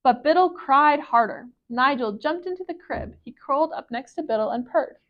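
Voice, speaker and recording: Woman, high pitch, children's storyteller, expressive, careful phrasing, picture-book read-aloud. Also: normal speed, muffled recording. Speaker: library storyteller.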